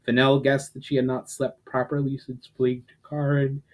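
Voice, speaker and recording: A man's voice: mid-range voice, monotone, normal speed, a little mellow. Man giving a dramatic fantasy reading. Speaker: author reading own fantasy fiction. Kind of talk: dramatic audiobook narration.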